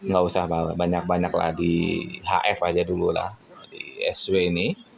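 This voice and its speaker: A man from Indonesia with a lowish, creaky voice speaks off the cuff to his viewers. He is earnest and reflective, feeling his way through sentences and turning to rhetorical questions. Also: completely flat delivery, normal speed. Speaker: radio hobbyist vlogger. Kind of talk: casual reflective monologue to viewers.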